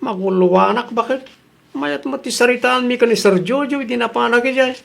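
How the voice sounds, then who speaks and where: An earnest jeepney driver acted by a man in an Ilocano radio drama. The voice has a gravelly creak and an Ilocano accent, and sounds worried and respectful, like someone answering to a boss. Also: extremely high pitch, fairly quick, a bit bright, full character voice. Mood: worried, puzzled.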